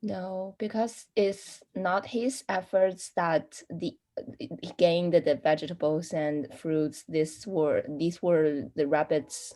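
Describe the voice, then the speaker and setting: A woman answering questions as a participant in a lesson, in a hesitant, halting way. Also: on the low side, fairly even intonation, warm tone. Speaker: read-aloud participant.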